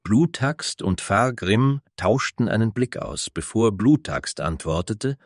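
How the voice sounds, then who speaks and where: A man's voice: on the low side, lively intonation, slow, dry recording, studio quality. A man reading scripted narration for a fantasy story as its narrator.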